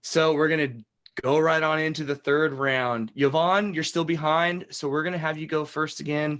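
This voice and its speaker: A woman's voice: low-pitched, fairly even intonation, normal speed, fairly bright tone. A woman playing a chatty, reassuring game show host in an improvised parody, stretching words for suspense like an announcer. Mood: upbeat, reassuring.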